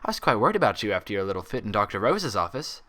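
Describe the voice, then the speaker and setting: A man's voice: lowish voice, big pitch swings, rapid-fire, sharp tone. A man voicing a stern female unicorn head nurse in a story reading, patronizing and controlled. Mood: syrupy sweet. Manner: patronizing endearments, cool professional tone.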